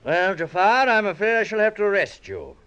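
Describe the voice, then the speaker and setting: Lively, mild colonial police officer. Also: male voice, high voice, a little fast, smooth. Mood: mild, regretful. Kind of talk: old-time radio drama acting.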